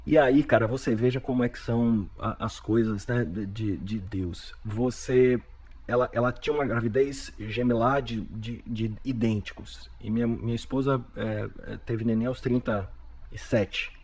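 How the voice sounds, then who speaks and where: A man's voice: mid-range voice, moderate pace. A man from Bahia in his forties with a Bahian Brazilian accent, a guest telling a story animatedly and repeating his words often. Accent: Bahian Brazilian Portuguese. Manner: animated storyteller with frequent repetitions. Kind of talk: storytelling in a podcast interview.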